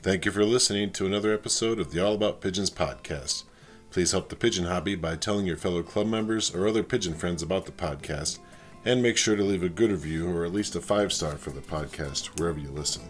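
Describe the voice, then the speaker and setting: Very deep fast-talking young host. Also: male voice, faint music behind. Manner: relaxed, upbeat, conversational. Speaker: podcast host. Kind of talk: casual podcast chat.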